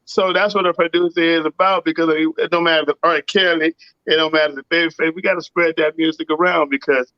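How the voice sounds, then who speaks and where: A man with a rough, raspy voice, a singer and producer talking casually in an interview and often saying "you know what I'm saying". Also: a little high, fairly even intonation, fast talker, no room sound, dull audio. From Southern US.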